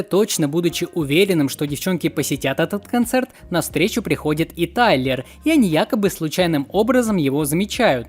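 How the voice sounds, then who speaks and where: A man's voice: a little high, darkish tone. A man hosting a video with scripted narration about cartoon theories. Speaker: video host.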